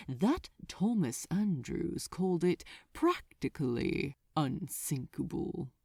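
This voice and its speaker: A woman voicing a smug, seductive male archdemon in an audiobook, silky and softly menacing in a theatrical way. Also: fairly low pitch, creaky, husky, no echo, studio quality, heavily acted. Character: seductive, sinister male archdemon, smugly patronizing. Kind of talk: dramatized fan fiction audiobook reading.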